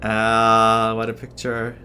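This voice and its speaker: A man's voice: fairly low pitch, completely flat delivery, takes it slowly, sharp tone, soft background music. A man giving casual, amused, reactive commentary as he plays through a video game. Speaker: gaming streamer.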